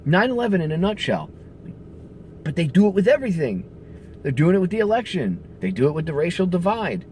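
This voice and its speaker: A man's voice: sits high, sing-song, fairly quick, a little mellow, a little hiss or hum. A man ranting in profane, sarcastic political commentary, hammering points with heavy emphasis.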